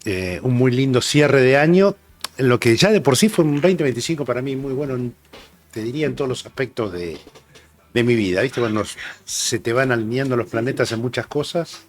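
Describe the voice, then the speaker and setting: A man's voice: medium pitch, lively intonation, normal speed. Argentine man in his sixties, rambling. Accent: Rioplatense Spanish. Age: 60s. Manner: rambling, reminiscing, many "eh" fillers. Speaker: rugby journalist guest. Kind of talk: relaxed anecdotal interview chat.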